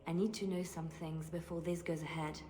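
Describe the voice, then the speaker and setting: A woman's voice: low voice, level tone, brisk, mellow, close to an everyday voice. A woman playing a guarded, defiant young female bard in video game dialogue, with pointed questioning. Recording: echoey hall reverb with music.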